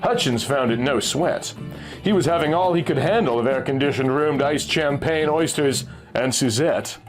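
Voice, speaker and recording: A man's voice: mid-range voice, moderate pace, rough recording. A man narrating a pulp adventure story from a script, as a storyteller reading aloud. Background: music.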